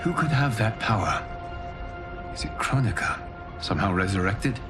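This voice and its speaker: A man acts out a benevolent god of a cinematic game world. His voice is low and grave, and he speaks in measured, formal commands. Music swells behind him. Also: lively intonation, lightly acted. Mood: grave, troubled. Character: grave, benevolent fire god protecting the earth realm. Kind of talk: solemn cinematic game dialogue.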